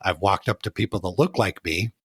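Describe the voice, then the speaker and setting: A man's voice: deep voice, a little fast, breathy edge, no echo. A man hosting a travel podcast, candid and playful in a casual interview, often acting out lines he quotes. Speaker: podcast host.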